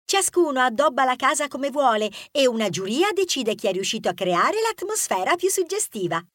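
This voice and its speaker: A man's voice: extremely high pitch, very animated, moderate pace, a bit bright, no echo, studio quality, pitched above the performer's own voice, heavily acted. A man voicing a matter-of-fact young female mouse, a composed sister who explains things in a storybook.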